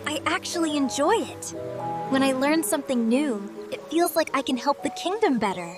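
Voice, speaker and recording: A woman voicing a young princess in an animated fairy tale, with an earnest, expressive delivery. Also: high-pitched, normal speed. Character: young princess sisters, one studious, one lazy. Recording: music behind the voice.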